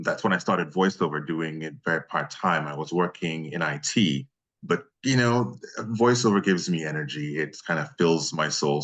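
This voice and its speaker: Deep-voiced man from Nigeria. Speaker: voice actor being interviewed. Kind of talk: casual interview chat.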